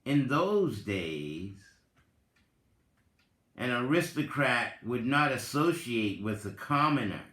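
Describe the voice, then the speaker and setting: Very slow-talking man in an echoey room. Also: mid-range voice, sing-song, fairly bright tone. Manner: deliberate, halting delivery in short phrases. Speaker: reader, content creator. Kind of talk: dramatic reading with spoken commentary. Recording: roomy, echoing voice recording.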